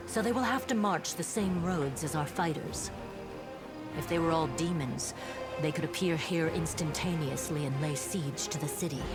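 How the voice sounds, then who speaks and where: An actress playing an earnest, dutiful female paladin officer in a fantasy game, speaking in a formal, measured reporting manner. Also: low-pitched, lightly acted. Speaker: game character reporting to a commander. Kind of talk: voice-acted fantasy game dialogue. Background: music.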